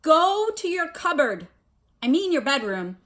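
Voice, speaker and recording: A blustering storybook uncle, acted out by a woman reader. He is stubborn and bullying, pushing his words out high and emphatically, with plenty of swoops in the pitch. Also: sing-song, unhurried, a bit bright, exaggerated voice. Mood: wheezing anger. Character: gruff, flustered, bullying middle-aged uncle, wheezing and stubborn.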